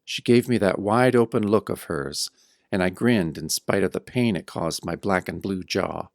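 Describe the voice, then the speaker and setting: A man's voice: low-pitched, moderate pace, vocal fry, darkish tone, no room sound, studio quality. An actor playing a battered, wry tough-guy hero, narrating his own pulp horror tale in a radio-style audio drama. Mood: determined, wry. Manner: wry, hard-boiled first-person storytelling.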